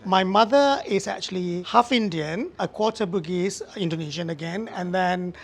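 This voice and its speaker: A middle-aged man from Malaysia, a chef chatting as an interview guest, unhurried and searching for words. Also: high voice. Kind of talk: casual interview chat.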